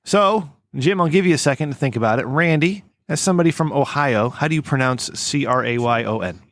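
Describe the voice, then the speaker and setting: A man's voice: medium pitch, expressive, fairly quick, dry recording, clean studio sound. A man hosting a casual group podcast, joking and swearing freely. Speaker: podcast host. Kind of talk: casual chat.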